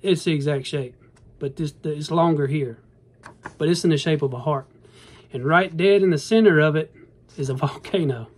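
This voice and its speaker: Man in his thirties, slightly high voice, rambling folksy phone-camera vlogger. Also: normal speed, pauses often, a little mellow, breathy edge. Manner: rambling, earnest, folksy, addresses viewers as y'all. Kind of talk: casual talk to camera. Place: indoor bedroom. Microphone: phone camera at arm's length.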